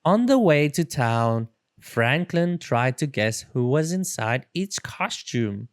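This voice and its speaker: Children's story narrator, slow deliberate pace, very lively pitch, dramatic delivery, dry close sound. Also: dry recording. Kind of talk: expressive read-aloud narration.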